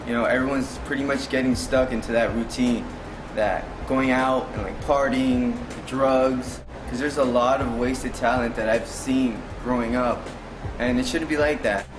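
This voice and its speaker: A man's voice: mid-range voice, fairly even intonation, a little slow, roomy sound, music in the background, low-quality recording. A man in his twenties from Miami speaks reflectively in an interview, repeating himself as he thinks. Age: 20s. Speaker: reality show cast member. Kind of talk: confessional interview.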